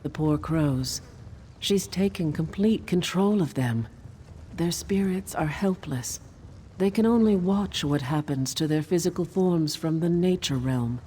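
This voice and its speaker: A woman playing an earnest, determined forest witch ally in a fantasy video game, with a measured delivery. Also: low voice, mellow, a little hiss or hum. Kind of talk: video game character acting.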